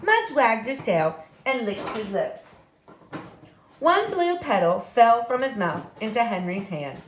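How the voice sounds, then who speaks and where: A woman reads a children's story aloud, addressing young listeners expressively. Her voice is fairly high and very lively in pitch, at an unhurried pace. The room sounds echoey. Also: a little hiss or hum. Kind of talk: picture book read-aloud.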